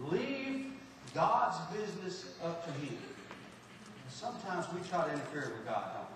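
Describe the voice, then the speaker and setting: A man from Texas with a Texan accent preaching a folksy sermon, repeating and restarting words with loud and soft swings. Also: high voice. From Texas, USA. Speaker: pastor. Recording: echoey hall from far off, faint noise.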